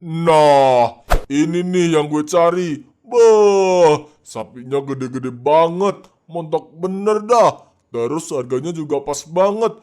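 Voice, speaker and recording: A cocky, bragging village man in a cartoon drama. He talks in a raised, fairly high tone, slow and drawn out, and chuckles at his own schemes. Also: darkish tone, clear, resonant tone. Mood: gleeful. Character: boastful middle-aged village man.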